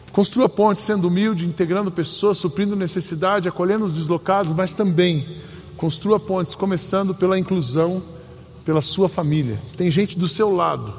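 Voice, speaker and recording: An earnest man with a Brazilian Portuguese accent preaches from the pulpit, pausing for emphasis as he tells stories. His voice sits a little high. The sound carries a long church reverb over a faint hum of room noise. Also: a little mellow. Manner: earnest, storytelling, emphatic pauses. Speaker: pastor. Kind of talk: sermon. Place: church sanctuary.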